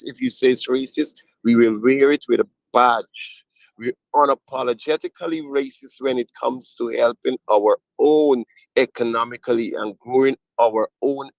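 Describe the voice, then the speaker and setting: A man's voice: medium pitch, dry recording. Muffled male talk-show caller, emphatic. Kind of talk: opinion talk on a call-in show. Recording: muffled, dull call audio.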